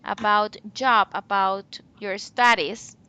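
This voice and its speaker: Woman english teacher on a video call, talks real slow and careful, bright voice. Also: medium pitch, fairly even intonation, clear, resonant tone, dull audio. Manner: slow, deliberate coaching with modelled pronunciation. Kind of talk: one-on-one online English lesson.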